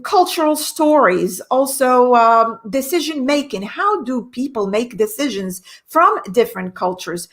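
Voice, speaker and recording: Enthusiastic woman from Morocco. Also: on the high side. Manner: energetic, enthusiastic, with thoughtful pauses. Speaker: guest, business owner. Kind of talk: radio interview. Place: radio studio.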